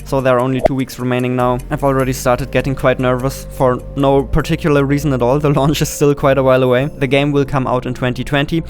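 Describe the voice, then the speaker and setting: A man's voice: mid-range voice, little pitch movement, fast talker, few pauses. A man hosting an indie game devlog in a casual, informal way with self-deprecating humor. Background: background music.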